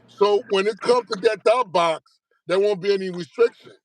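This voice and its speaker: A man's voice: high-pitched, normal speed, no echo, rough recording. A middle-aged man from Haiti, a guest telling stories in a casual interview, emphatic, profane and streetwise, always asking if you get what he's saying. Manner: repeats tag questions like "you know what I'm saying".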